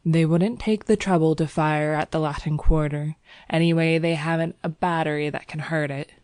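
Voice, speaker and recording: A woman's voice: fairly low pitch, measured pace, a little mellow, no room sound, clean studio sound, lightly acted. A woman voicing a cheerful young male artist in an audiobook story, breezy and reassuring, playing down danger. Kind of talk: audiobook character dialogue.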